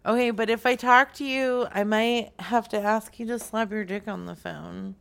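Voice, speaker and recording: A woman's voice: on the high side, fairly even intonation, normal speed, vocal fry, fairly bright tone, clear, resonant tone. A woman in her forties making a playful, flirtatious prank phone call. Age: 40s. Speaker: prank caller.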